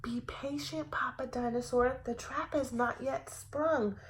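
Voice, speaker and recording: Woman as a sly, high-voiced mama dinosaur. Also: fairly even intonation, measured pace. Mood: hushed, plotting. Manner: mock-innocent, plotting. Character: sly, patient Mama Dinosaur, falsely innocent schemer.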